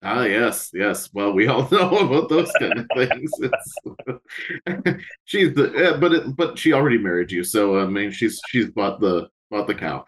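A man co-hosting a casual music interview, friendly and quick to laugh. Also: fairly low pitch, lively intonation, a bit bright. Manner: affable, laughs easily, agreeing fillers. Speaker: podcast co-host.

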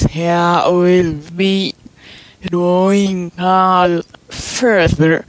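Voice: mid-range voice, no echo, low-quality recording. A listener's recorded question spoken with a reversed-speech effect, in disjointed phrases.

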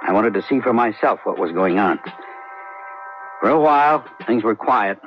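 An actor playing a calm, plainspoken male sheriff narrating his case, in a 1970s radio drama. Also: lowish voice, normal speed, lightly acted. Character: steady small-town male sheriff narrating. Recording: lo-fi old radio broadcast with music.

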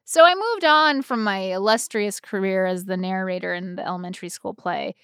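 A woman's voice: medium pitch, a little fast, a bit bright, smooth, no room sound. American woman chatting casually, close dry sound. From United States. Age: 30s. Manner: self-mocking, playfully boastful, sweary. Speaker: podcast co-host. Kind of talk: casual comedic chat, storytelling.

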